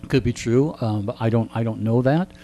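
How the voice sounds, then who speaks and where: A man's voice: medium pitch, brisk, mellow. A man talking casually as a radio interview guest, telling anecdotes with a wry, joking manner. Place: radio studio.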